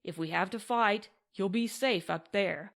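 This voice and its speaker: A woman's voice: medium pitch, a little slow, vocal fry, a bit bright. A woman voicing a blunt, commanding cowboy foreman with curt, plain speech in an audiobook western. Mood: firm, directing.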